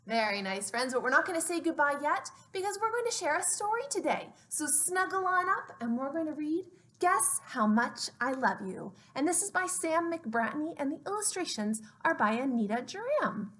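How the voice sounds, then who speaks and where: A woman hosting a story time for small children, speaking in a simple, expressive, child-directed way as she narrates. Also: high-pitched, moderate pace.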